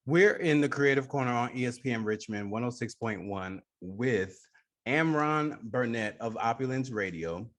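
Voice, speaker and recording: A man's voice: mid-range voice, lively intonation, moderate pace, fairly bright tone. A man with a New York accent hosting a casual radio interview.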